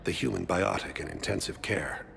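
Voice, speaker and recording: An actor voicing a gravely ill alien man in a video game, serene and courteous, with formal, measured phrasing and a deep gravelly voice. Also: a bit bright, heavily acted. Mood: resigned, gracious. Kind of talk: video game cutscene dialogue.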